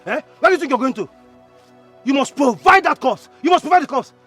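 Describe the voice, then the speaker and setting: An actor playing a hot-headed, defiant younger brother in a Nigerian film drama, with a Nigerian accent and insistent, stammering repetitions. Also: male voice, very high-pitched, very animated, racing, a bit bright, breathy edge, faint music behind, heavily acted. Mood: furious, taunting. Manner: stammering, repeats words insistently.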